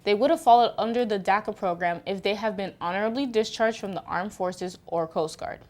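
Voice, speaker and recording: A woman's voice: medium pitch, fairly quick. A woman co-presenting a scripted news report, reading statistics from a script.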